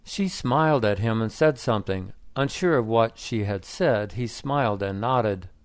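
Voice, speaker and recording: A man narrating short stories in a plain, even reading style for learners. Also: fairly low pitch, measured pace, no room sound. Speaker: story reader.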